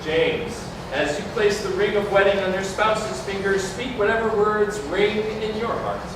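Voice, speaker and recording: An actor playing a stately wedding officiant, leading the rites in a formal, ceremonial way in a staged reading. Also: male voice, high voice, normal speed, natural voice. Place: large indoor community hall. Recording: echoey hall, distant mic, background noise.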